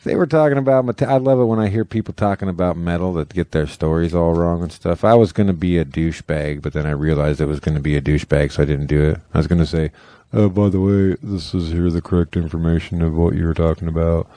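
A middle-aged man with a low, warm and mellow voice chats loosely on a podcast, rambling and joking and sometimes trailing off mid-thought. The sound is dry and close. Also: fast, hardly any pauses, no echo. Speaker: podcast co-host. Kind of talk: casual chat.

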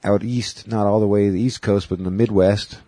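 A man's voice: fairly low pitch, fairly even intonation, brisk, mellow, dry recording. A man hosting a casual chat show, rambling and swearing with sarcastic asides, a bit congested after a cold. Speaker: podcast host. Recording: music playing in the background.

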